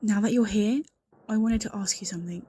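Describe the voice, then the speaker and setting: A woman's voice: medium pitch, fairly quick, dark tone, breathy edge, lightly acted. A woman playing an anxious, suspicious secretary in an audio roleplay, speaking in an earnest, confiding and insistent way. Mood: pleading. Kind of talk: scripted audio roleplay acting, one-sided conversation.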